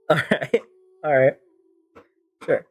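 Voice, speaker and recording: A woman's voice: low voice, lively intonation, very slow, darkish tone, husky. A woman game streamer improvising wry, teasing commentary and joking replies to the characters. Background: game music.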